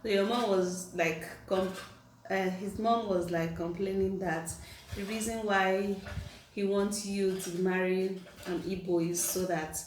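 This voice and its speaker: A chatty woman from Nigeria talks with her husband, reining in a loud voice and circling back on her words. She sits a little off the mic in a roomy, echoing space with a faint hiss underneath. Her pitch sits mid-range. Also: steady tone, a little slow, distant mic. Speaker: vlogger co-host. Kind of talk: casual couple chat.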